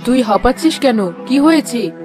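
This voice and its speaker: A woman voicing a gentle village mother and wife in a cartoon fairy tale, soothing and mildly chiding. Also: fairly high pitch, expressive, normal speed, natural voice. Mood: concerned. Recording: music behind the voice.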